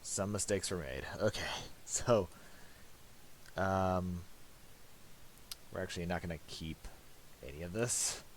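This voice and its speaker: Male tutorial presenter, low and unhurried, halting and unscripted, casual delivery. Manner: halting, thinking aloud. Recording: faint hiss and mouse clicks.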